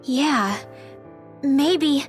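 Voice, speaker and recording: A woman's voice: high-pitched, very slow, faint music behind. A woman voicing an earnest, open-hearted and slightly stubborn teenage girl mage in a video game cutscene. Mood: hesitant, thoughtful.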